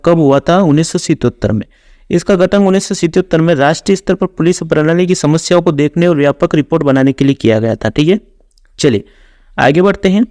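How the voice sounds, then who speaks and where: Male exam coach, higher-pitched voice, instructional question-and-answer delivery, very dry recording. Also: darkish tone, no echo. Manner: instructional, repeats answers and stock transition phrases. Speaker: exam coach, online teacher. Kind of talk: reading out quiz questions and explaining answers.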